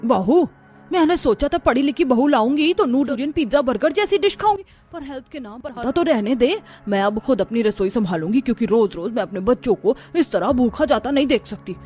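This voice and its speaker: A woman's voice: a little high, expressive, a little fast, a little mellow, natural voice. Stern complaining mother-in-law, acted by a woman. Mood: scolding. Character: stern, exasperated middle-aged mother-in-law, village family drama. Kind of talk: cartoon story character acting. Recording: music under the voice.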